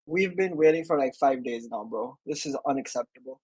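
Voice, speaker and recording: A man's voice: medium pitch, fairly quick, a little mellow, husky, no room sound, poor audio. A man on a casual developer livestream, joking around and teasing in a mock-complaining way.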